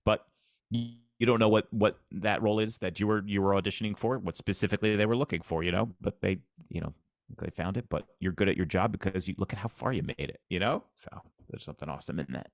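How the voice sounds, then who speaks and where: Guest spot: deep-voiced man, arena announcer, earnest plain talk, very low, dry close sound. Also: quick pace, dry recording. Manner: earnest, plainspoken, repeats himself. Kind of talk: casual interview chat.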